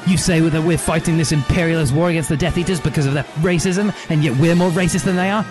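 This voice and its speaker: A man voicing an indignant, defiant teenage boy revolutionary who yells, in a satirical story. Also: medium pitch, brisk, over music, natural voice. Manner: yelling, defiant.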